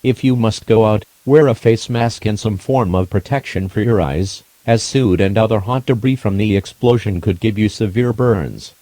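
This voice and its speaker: A man reading a scripted emergency broadcast announcement in a formal, measured, impersonal way. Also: lowish voice, warm tone, dry recording.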